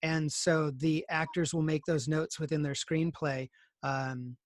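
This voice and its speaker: Male screenwriting teacher, fairly high voice, casual and explanatory, dry video-call sound. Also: level tone, a little slow, no echo. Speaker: teacher leading a screenwriting class.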